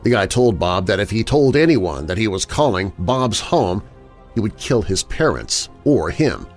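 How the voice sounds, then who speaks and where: A man reading first-person scary stories as a narrator, in a dramatic, expressive storytelling manner. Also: lowish voice, moderate pace. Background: background music.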